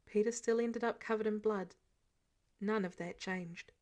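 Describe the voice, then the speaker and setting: A woman's voice: medium pitch, steady tone, a little slow, a little mellow. A woman reading scripted fiction aloud as a narrator. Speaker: podfic narrator.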